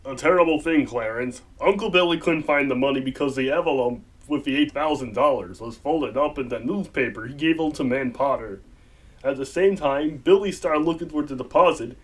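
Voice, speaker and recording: A man acting as a calm storyteller narrating a radio play. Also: medium pitch, brisk, close to an everyday voice. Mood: grave. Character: calm storyteller recounting events to a listener.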